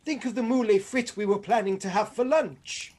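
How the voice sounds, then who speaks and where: A woman's voice: mid-range voice, normal speed, a little mellow, lightly acted. A woman playing a long-suffering detective's companion in a comic radio play, with a dry, understated delivery. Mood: reproving.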